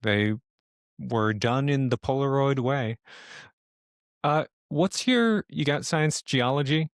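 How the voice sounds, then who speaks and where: A man's voice: medium pitch, slow, smooth, no echo, studio quality. A man moderating a tabletop game as game master, informal and teasing, with some swearing.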